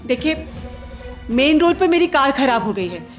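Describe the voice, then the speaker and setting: An actress playing a proud, sharp-tongued woman stranded at night, formal and argumentative, in a radio play with music. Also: high-pitched, big pitch swings, normal speed. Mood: weary, explaining.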